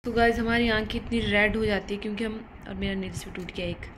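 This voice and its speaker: A woman's voice: medium pitch, steady tone, fast. A young woman chatting to her viewers as a travel vlogger, filming herself on a phone at arm's length in a hotel room and on a beach. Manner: chatty, addresses viewers as guys, mixes English words. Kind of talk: casual vlog narration. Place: hotel room, then outdoors on a beach and boat. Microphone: phone held at arm's length. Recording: loud wind and surf noise. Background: waves, boats and water vehicles.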